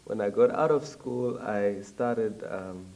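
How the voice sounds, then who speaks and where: A young man from Zambia, talking as a guest in a casual interview, reflective and hesitant with frequent pauses. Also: fairly low pitch, dark tone, recorded from a distance. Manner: reflective, hesitant with many ums.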